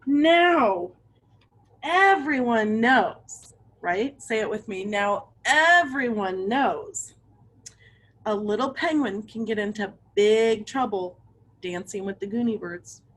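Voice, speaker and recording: A woman with a fairly high, husky voice reads a picture book to children. She takes her time, adding chatty asides and putting questions to her young listeners. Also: lively intonation, slow pace, fairly bright tone. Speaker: read-aloud storyteller for children.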